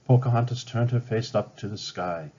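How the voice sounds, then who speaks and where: A man reading a children's storybook aloud with dramatic, expressive narration. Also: on the low side, moderate pace. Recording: slightly dull, band-limited sound.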